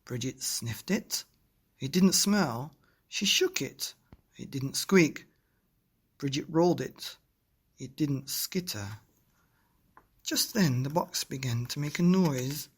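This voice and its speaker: Expressive, husky male bedtime storyteller. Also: a little high, slow pace, long pauses. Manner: expressive, addresses children directly. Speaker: podcast host and storybook reader.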